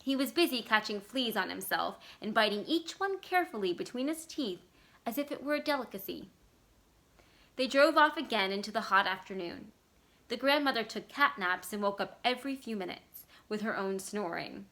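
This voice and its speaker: A woman reading literary fiction aloud as expressive scripted narration. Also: mid-range voice, moderate pace. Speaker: library staff story reader.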